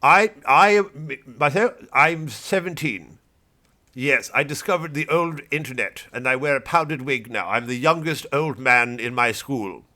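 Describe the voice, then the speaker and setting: A man's voice: mid-range voice, measured pace, pushed and bright. A man voicing a pompous boy who calls himself a seventeen-year-old old man, with fussy, stammering, prim disapproval. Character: pompous self-declared 17-year-old male fogey, prissy. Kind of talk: satirical comedy monologue.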